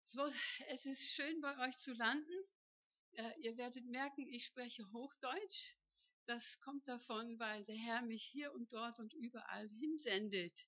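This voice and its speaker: A woman in her fifties from northern Germany tells a church congregation about her missionary work. Her fairly high voice has a bright edge, and she talks chattily, often asking the room to agree. The sound is close and dry but dull. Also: measured pace, no room sound. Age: 50s. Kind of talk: personal testimony to a church congregation. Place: church hall.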